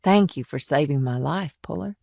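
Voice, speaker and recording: Woman acting a tough lady cop, blunt and sardonic, lowish female voice, dry sound. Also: animated, normal speed, vocal fry, a little mellow, dry recording, heavily acted. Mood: grateful. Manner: blunt, direct. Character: tough, blunt small-town policewoman, sardonic.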